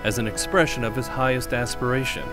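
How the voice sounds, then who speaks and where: A man's voice: mid-range voice, fast talker, music in the background. A man reading scripted narration as a television news reporter.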